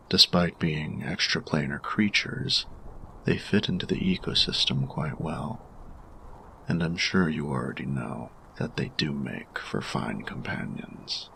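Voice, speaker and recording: A man playing a grumpy, learned artificer in an audio roleplay, speaking in formal, precise, measured diction. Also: a bass voice, vocal fry, fairly bright tone, a little hiss or hum, full character voice. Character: grumpy male artificer, learned, formal, reserved steampunk inventor. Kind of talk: scripted audio roleplay, one-sided dialogue.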